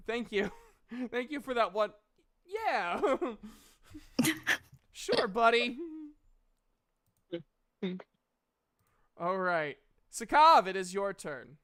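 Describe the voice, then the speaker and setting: A man's voice: high-pitched, sing-song, very slow, pauses often, a bit bright. A man acting as game master, casually narrating and bantering with players in a jokey, self-deprecating way.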